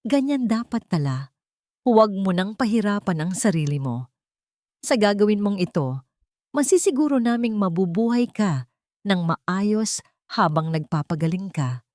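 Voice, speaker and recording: A woman's voice: mid-range voice, very animated, measured pace, pauses often, warm tone, dry recording. A woman narrator plays a greedy husband in a dramatic story, smug and controlling. The delivery is condescending, and the sound is very dry. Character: greedy, treacherous husband, smug male villain.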